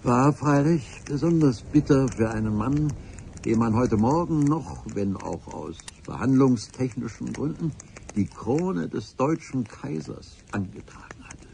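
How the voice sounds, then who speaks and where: A man's voice: medium pitch, animated, unhurried, vocal fry, mellow, slight background noise. A man playing a grave adventurer narrating his memoir in a radio play, in a literary, mock-solemn manner. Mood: ominous.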